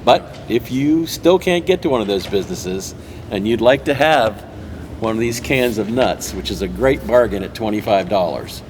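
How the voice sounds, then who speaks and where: A man's voice: lowish voice, lively intonation, moderate pace, a little hiss or hum. A man appearing as a guest on a local TV interview, speaking in a plain, matter-of-fact way. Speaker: guest, club member. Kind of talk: casual interview talk.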